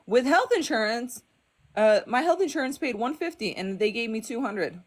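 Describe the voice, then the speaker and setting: A woman's voice: on the high side, lively intonation, moderate pace. A woman in her thirties who grew up in Denmark, calling into a live stream and ranting with emphatic, fed-up bursts. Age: 30s. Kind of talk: impassioned rant.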